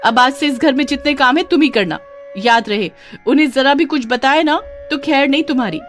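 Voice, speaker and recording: A woman's voice: high-pitched, brisk, fairly bright tone, voice pushed up. A woman voicing a spoiled, haughty young wife in a story, pushy and bossy. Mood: angry, threatening.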